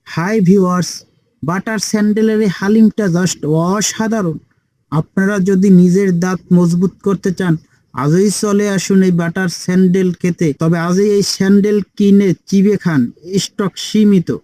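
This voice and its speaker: A man voicing a nosy, greedy, excitable neighbour uncle in a comedy cartoon, blustering and exclamatory. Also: sits high, a little slow, no room sound, rough recording. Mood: showy.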